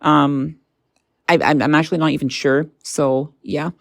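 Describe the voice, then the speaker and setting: A woman's voice: low voice, steady tone, measured pace, creaky, no room sound. A woman presenting a casual hands-on gadget review, informal and a little tentative as she thinks aloud.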